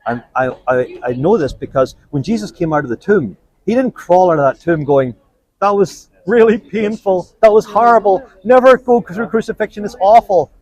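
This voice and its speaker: A man's voice: high voice, moderate pace, darkish tone, husky, rough recording. A man preaching on the street, earnest and persuasive, quoting scripture and joking with the people he stops. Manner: earnest, persuasive, quotes scripture, jokes with passers-by. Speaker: street evangelist. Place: outdoors on a street.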